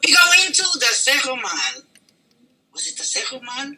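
An older woman, a singer, telling a story in an interview with lively, dramatic delivery and acted-out quotes. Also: fairly high pitch, normal speed, slight background noise. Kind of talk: storytelling in a conversation.

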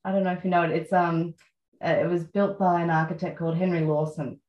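A low-pitched Australian woman in her thirties recalls things in a reflective, meandering way, pausing often on fillers. Her voice sits in an echoey space and sounds slightly muffled. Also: steady tone. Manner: reflective, many fillers and pauses. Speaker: guest writer. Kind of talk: relaxed interview conversation.

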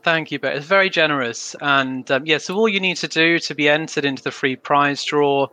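A man's voice: fairly high pitch, fairly quick, pushed and bright, no echo. A man hosting a live panel show, talking in a friendly, chatty way with frequent ums. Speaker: host.

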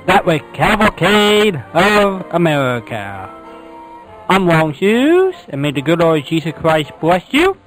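A man's voice: fairly high pitch, big pitch swings, unhurried, rough recording. A man hosting a radio show, giving his sign-off. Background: music.

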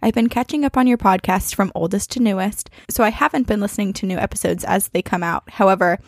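A woman podcast co-host with a noticeably creaky voice, recorded close and dry. She speaks in an expressive, conversational way, as if reading listener mail to friends, at a middle pitch. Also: fast, no echo. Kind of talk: casual chat and reading listener emails aloud.

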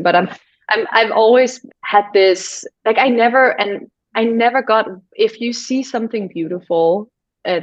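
A woman from Denmark whose first language is Danish, speaking as a guest in an animated, expressive conversation. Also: no room sound. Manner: animated and expressive, pitch rising on key words. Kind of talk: casual conversation. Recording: slightly dull lo-fi sound.

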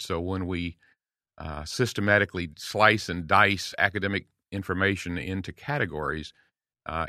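A man's voice: low voice, pushed and bright, dry recording, studio quality. An American man in his sixties talking conversationally as an interview guest, explaining things in a folksy way. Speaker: podcast guest, veteran homeschool dad and speaker.

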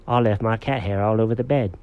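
A man's voice: lowish voice, fairly even intonation, a little fast, clean tone, phone-quality sound, natural voice. A man voicing a refined, nervous and slightly vain pet cat in a children's story, with polite, genteel phrasing. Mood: nervous, agreeable.

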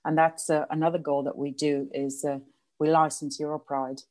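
Latvian woman, 30s, deep voice, halting interview guest. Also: little pitch movement, darkish tone. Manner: hesitant, many fillers and restarts, laughs often. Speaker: guest, association president. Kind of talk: casual interview.

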